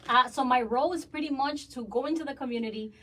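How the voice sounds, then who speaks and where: A woman's voice: high voice, quick pace, recorded from a distance, background noise. A woman from the Dominican Republic, a guest in a radio studio, talking in a friendly way about her outreach work. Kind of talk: casual radio chat.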